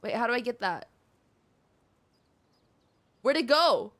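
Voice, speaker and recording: A woman's voice: on the high side, expressive, slow, a bit bright. A woman game streamer giving casual, reactive live commentary, informal and repeating phrases for emphasis.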